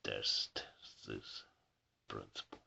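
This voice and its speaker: A husky-voiced man who keeps clearing his throat, reading a book aloud haltingly for his video channel and stumbling over words. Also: bright, forceful tone, dull audio, slight background noise. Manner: halting reading with restarts and slips. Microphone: camera mic some distance away.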